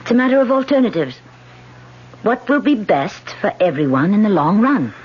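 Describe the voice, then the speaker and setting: A woman's voice: mid-range voice, lively intonation, moderate pace, slight background noise, lightly acted. An actress in a 1970s radio drama playing a guarded woman with a secret, tense and evasive. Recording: dull vintage radio broadcast.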